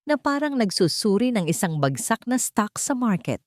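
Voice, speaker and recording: A woman narrating a dramatic love story in measured, solemn, deliberate phrases. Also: on the high side, very animated, dry recording, studio quality.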